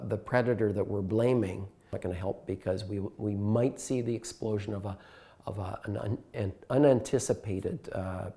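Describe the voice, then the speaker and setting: A man in his sixties, a scientist giving measured, explanatory interview answers. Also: lowish voice, gravelly, dark tone. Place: bright room by a window, indoors.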